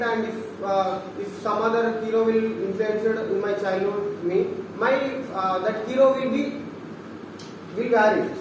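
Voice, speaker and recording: A young man with a Telugu-Indian accent gives a halting seminar talk, full of restarts and fillers. His voice sits high for a man. He is picked up from far away in a very echoey, noisy room. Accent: Indian English, Telugu speaker. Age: young adult. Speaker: philosophy student presenting at a seminar.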